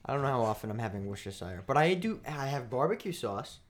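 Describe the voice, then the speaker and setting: A man hosting a podcast in casual chat, playfully argumentative and animated, talking into a studio mic. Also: medium pitch, fast talker. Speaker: podcast host.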